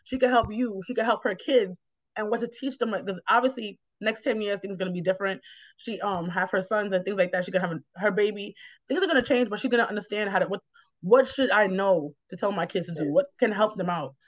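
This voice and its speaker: A woman's voice: medium pitch, a little fast, no echo. A woman chatting reflectively as host, explaining things in a rambling, encouraging way. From New York, United States. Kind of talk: casual reflective conversation. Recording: slightly dull, lo-fi, dry sound.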